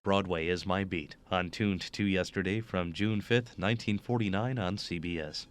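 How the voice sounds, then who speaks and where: A man hosting a radio program of old shows, announcing in a calm, measured way. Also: low voice, no echo, faint music behind. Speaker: radio host.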